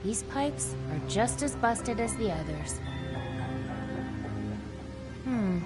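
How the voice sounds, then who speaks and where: Lively, wry young adventurer girl. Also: a little high, slow pace, over loud music. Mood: musing. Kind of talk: video game character dialogue.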